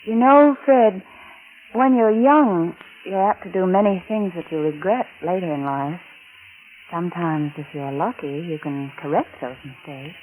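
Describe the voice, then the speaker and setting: An actress playing a scheming, adulterous wife who is coolly manipulative and falsely tender, in a 1940s radio drama style. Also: female voice, lowish voice, measured pace, a little hiss or hum, lightly acted. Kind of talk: 1940s radio drama acting with confessional narration. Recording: old lo-fi radio recording with music.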